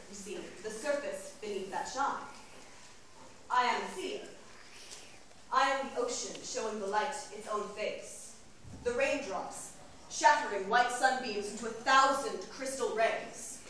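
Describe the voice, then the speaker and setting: A woman playing a proud, cold, dryly witty young seer in a theatrical stage monologue, speaking in deliberate, formal phrases. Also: fairly high pitch, sharp tone. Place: live on stage, filmed from front row. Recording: echoey hall from far off, faint noise.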